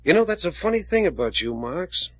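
An actor playing a commanding, level-headed adventurer who speaks tersely and with authority in an old-time radio serial. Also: male voice, sits high, very animated, a little fast, no room sound. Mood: probing. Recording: dull, dry old radio recording.

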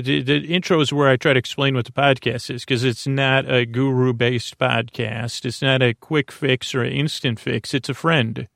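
A man hosting a bedtime podcast, talking softly in a lulling, meandering way, full of fillers and restarts. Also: medium pitch, creaky, no echo, clean studio sound. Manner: soft, lulling delivery. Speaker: podcast host. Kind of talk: rambling bedtime podcast talk.

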